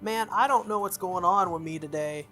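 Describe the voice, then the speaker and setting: A man's voice: high voice, moderate pace, bright, forceful tone. A man hosting a visual novel playthrough, reading the text aloud with casual asides and stumbling over lines now and then. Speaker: video game playthrough host. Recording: music behind the voice. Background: game music.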